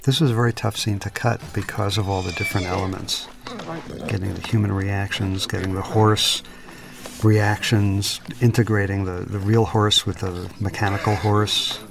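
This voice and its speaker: A man giving thoughtful, analytical film commentary in a measured, technical way, with the film's soundtrack underneath. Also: fairly low pitch, level tone, creaky. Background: film music and dialogue.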